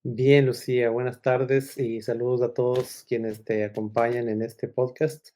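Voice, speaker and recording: A man's voice: mid-range voice, little pitch movement, unhurried, warm tone. A middle-aged man, talking casually and discursively as a podcast guest, often checking in with little tag questions. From Ecuador. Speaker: podcast guest, agile consultant and coach. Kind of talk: casual conversational storytelling.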